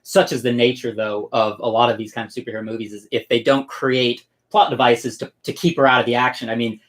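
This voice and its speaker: Quick, explanatory man discussing films. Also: mid-range voice, level tone. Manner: explanatory, often checking with "right?". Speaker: co-host reviewer. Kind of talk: casual analytical film discussion.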